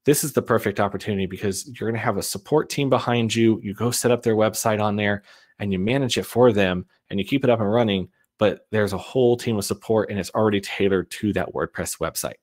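A man's voice: fairly low pitch, fast talker, darkish tone. A man in his thirties giving a casual webinar talk from a home office over a video call, loose and full of ums. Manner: casual, many ums and uhs, restarts. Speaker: web agency owner presenting. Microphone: webcam video call.

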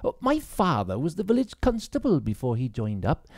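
A man's voice: on the high side, wildly swooping pitch, normal speed, vocal fry, darkish tone, dry recording, studio quality, pitched above the performer's own voice, exaggerated voice. A man voicing a young policewoman, polite, earnest and a little hesitant, in an audiobook.

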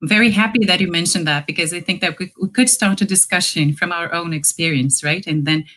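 A woman in her thirties with a Brazilian accent moderates an online session over a headset. Her voice is on the lower side and her questions come calm and measured. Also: poor audio. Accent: Brazilian Portuguese. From Northeastern Brazil. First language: Portuguese. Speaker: webinar moderator. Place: home room, indoors.

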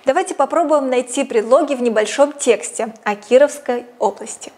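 A young woman presenting a scripted educational lesson in a clear, measured teacherly way. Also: high-pitched, a little mellow. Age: young adult. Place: small indoor studio set, seated. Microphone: lapel mic.

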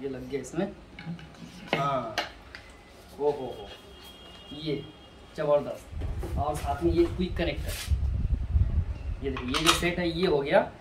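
A man in his thirties gives a casual, hands-on product demonstration. His voice sits a little high and he often repeats himself as he works. He is picked up from some distance on an echoey porch, with clear background noise. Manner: informal, hands-on, repeating himself while handling items. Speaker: product reviewer. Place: outdoor porch by house steps. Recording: roomy, distant mic, clearly noisy, clicks.

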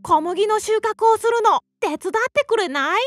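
A woman's voice: very high-pitched, expressive, normal speed, fairly bright tone, no echo, clean studio sound, faint music behind, pitched above the performer's own voice. A woman voicing a hardworking, spirited female hen in a children's cartoon. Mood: eager, asking. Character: hardworking little red hen, female, eager then indignant.

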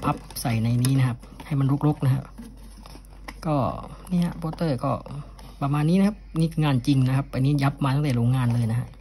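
A man's voice: mid-range voice, a little fast. A man speaking casually and unscripted as he presents an item from his collection, often ending phrases with polite particles. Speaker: cassette collector presenting an unboxing. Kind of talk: casual unscripted product showcase. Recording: steady background noise.

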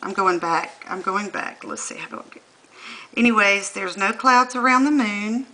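Southern lady vlogging at her computer, bright sharp voice, arguing her point hard. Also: mid-range voice, moderate pace, poor audio. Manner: insistent and argumentative, talking while filming a screen. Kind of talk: casual monologue. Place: indoors at a computer.

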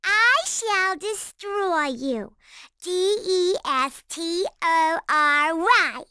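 Evil girl, very slow chanting threats, high bright voice, cold menace, video game villain. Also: animated, no room sound, studio quality, exaggerated voice. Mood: gleeful menace.

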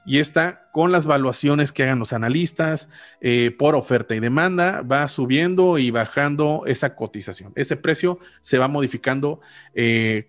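A man in his thirties with a Mexican accent, explaining things casually as a podcast host, often correcting himself as he goes, close on a studio microphone. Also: a little high. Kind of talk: casual explanatory finance talk. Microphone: large studio microphone on a boom arm, close.

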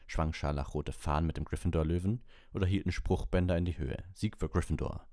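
A man's voice: low voice, steady tone, fast, dark tone, no echo, studio quality. A man reading a story aloud as an audiobook narrator.

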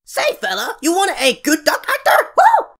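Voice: very high-pitched, wildly swooping pitch, moderate pace, background noise. A voice actor performing an audition reel of cartoon characters in an exaggerated delivery.

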